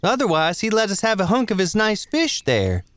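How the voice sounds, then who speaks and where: A man voicing a jittery young male deputy with a high, reedy, earnest voice who relays what a stranger says. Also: huge pitch swings, moderate pace, fairly bright tone, no room sound, full character voice. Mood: explaining. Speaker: interpreter for the sheriff. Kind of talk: audio story character voice.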